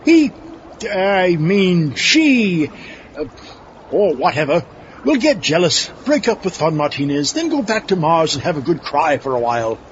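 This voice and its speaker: A man's voice: a little high, very animated, measured pace. A man playing a cocky, foolish schemer in a comedy audio drama, folksy and overconfident. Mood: smugly confident. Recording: dull audio with faint noise.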